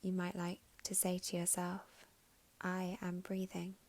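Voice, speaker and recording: A woman with a fairly low voice and a noticeable creak leads a guided meditation. She speaks softly and soothingly, taking her time with long pauses. Her phrases turn breathy and trail toward a whisper. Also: monotone.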